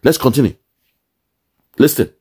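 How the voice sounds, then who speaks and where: A man's voice: mid-range voice, expressive, unhurried, dark tone, slightly rough. A man commenting on a video clip, indignantly explaining and repeating his points with rhetorical questions.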